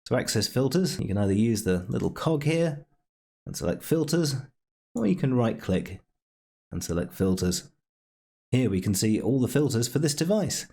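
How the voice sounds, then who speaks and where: A man's voice: mid-range voice, big pitch swings, moderate pace, gravelly, dark tone, breathy edge. A man narrating an informal screencast tutorial in a conversational, explanatory way with wry understatement. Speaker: tutorial host. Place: home room, voice-over recorded near computer.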